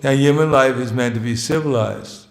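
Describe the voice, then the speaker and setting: A man's voice: medium pitch, moderate pace, creaky. A man giving an informal, joking sermon as a visiting monk, often chuckling between phrases and mocking himself. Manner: plainspoken, self-mocking. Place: temple hall. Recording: echoey hall.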